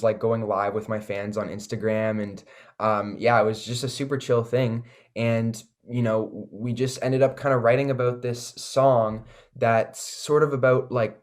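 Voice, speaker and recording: A young man with a Canadian accent chatting casually as an interview guest, laid-back and slangy. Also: medium pitch. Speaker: singer-songwriter guest.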